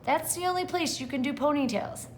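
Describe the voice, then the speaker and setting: A woman's voice: high-pitched, a little fast, slight background noise, voice pushed up, close to an everyday voice. A woman voicing a patient but doubtful mother from a storybook, questioning her young daughter. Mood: firm.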